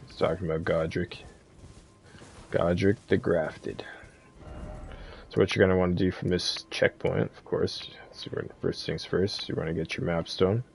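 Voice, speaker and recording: A man's voice: low-pitched, fairly even intonation, normal speed, gravelly. A man giving a casual, laid-back, rambling walkthrough of a video game as a streamer.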